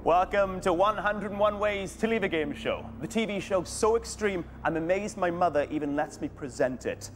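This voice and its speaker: A man hosting a British TV game show, delivering scripted lines with cheeky deadpan jokes in a studio. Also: sits high, normal speed, hardly any pauses, noisy background. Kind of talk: scripted playful presenting. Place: large TV studio. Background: music.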